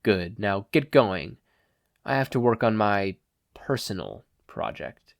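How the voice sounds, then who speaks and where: A man's voice: fairly low pitch, big pitch swings, slow pace, gravelly, dry recording, clean studio sound, full character voice. A man voicing a brusque, businesslike male crime boss with a curt, commanding manner. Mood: cool, commanding.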